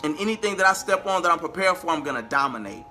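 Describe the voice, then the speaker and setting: A man's voice: a little high, expressive, fast, pushed and bright, soft background music. A man telling a personal story to his viewers in a casual, emphatic way, repeating phrases for emphasis. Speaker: vlogger and R&B singer.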